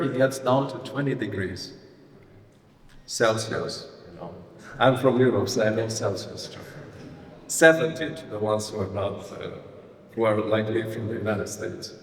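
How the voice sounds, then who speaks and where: Middle-aged male priest, wry and halting, speaking from a distance in an echoing hall. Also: medium pitch, a little hiss or hum. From Romania. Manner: self-deprecating, wry, halting with fillers. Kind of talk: informal retreat lecture. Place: large hall.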